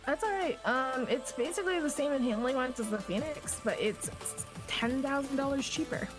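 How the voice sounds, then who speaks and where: A woman talking casually with a friend during an online roleplay game, in a relaxed, matter-of-fact way. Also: high voice, moderate pace, music in the background. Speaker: fellow player.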